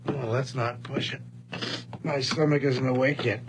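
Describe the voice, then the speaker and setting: A male actor plays a wisecracking old pal in an eighties radio horror play, casual and placating in manner. His voice sits at an average male pitch. There is faint noise on the old broadcast recording. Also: fairly even intonation, measured pace, natural voice. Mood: joking, casual. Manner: casual, reassuring, sometimes exasperated. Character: placating, wisecracking old buddy of a troubled man. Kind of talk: 1980s radio horror drama acting.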